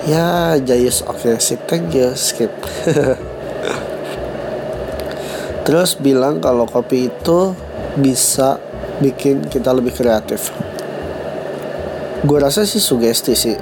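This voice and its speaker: A man's voice: medium pitch, slow, pauses often, noisy background. A young man with a stuffy nose from a cold and a Jakarta slang accent, hosting a casual solo podcast and joking at his own expense. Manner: joking, self-mocking, laughs at own jokes. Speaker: podcast host.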